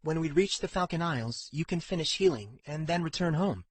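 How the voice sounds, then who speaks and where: A man's voice: fairly high pitch, animated, a little fast, breathy edge, dry recording, pitched above the performer's own voice. A man voicing an earnest, persistent, protective young woman in audiobook dialogue. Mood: grave, pleading.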